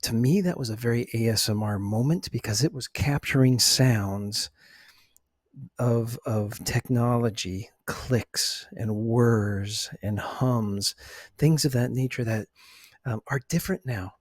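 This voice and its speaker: A middle-aged man talking softly and unhurriedly in a relaxed, reflective ASMR chat, with a slightly husky voice. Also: mid-range voice, takes it slowly, no echo, clean studio sound. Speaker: ASMR video host.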